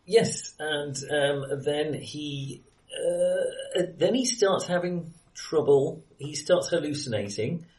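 A man hosting a film podcast in casual conversation, speaking haltingly as he searches for his words. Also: on the high side, a little mellow. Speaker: podcast host. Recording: roomy echo, distant from microphone.

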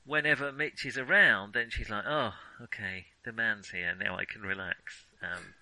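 A man's voice: on the low side, normal speed, sharp tone, poor audio. A man in a casual film discussion as a podcast co-host, thinking aloud and often correcting himself as he goes.

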